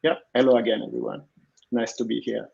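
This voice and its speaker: A man's voice: mid-range voice, flat delivery, a little fast, gravelly, a little mellow, breathy edge, dull audio. A man with an Italian accent, an engineering manager giving a self-introduction at an online panel. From Italy.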